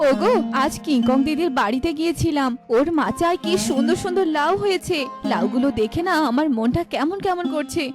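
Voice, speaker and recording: A sweet, fretful cartoon wife, with an extremely high voice. She sounds solicitous and plaintive, with music playing behind her. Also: expressive, brisk. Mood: sweet, wistful. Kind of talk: cartoon voice acting.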